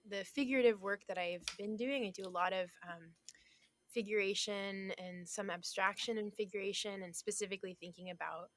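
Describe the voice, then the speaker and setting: A young adult woman from Florida, talking as a guest artist in a casual interview, thoughtful, with many pauses and soft laughs. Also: medium pitch, steady tone.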